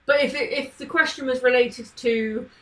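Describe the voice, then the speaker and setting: Woman, casual live-stream coder, slightly higher voice, rambling and friendly with chat. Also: fairly even intonation, normal speed. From not from Manchester. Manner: chatty, thinks aloud, answers chat questions. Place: home, living in Manchester.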